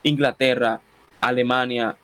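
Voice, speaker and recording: A Dominican man with a Dominican Spanish accent who hosts a radio show and preaches with fervor. He calls out amen and glory to God, then leads a slow, solemn prayer for listeners to repeat. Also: medium pitch, steady tone, fairly bright tone, no room sound. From Dominican Republic.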